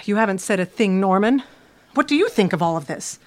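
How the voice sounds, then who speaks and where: A woman's voice: medium pitch, lively intonation, a little fast, close to an everyday voice. An actress playing a prim, fretful middle-aged mother who scolds like a martyr in an audio drama. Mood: indignant, martyred.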